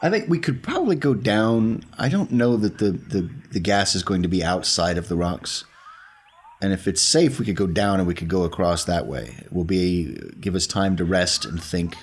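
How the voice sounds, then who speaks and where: Male tabletop player, very low husky voice, talking through in-game choices in conversation. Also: animated, moderate pace.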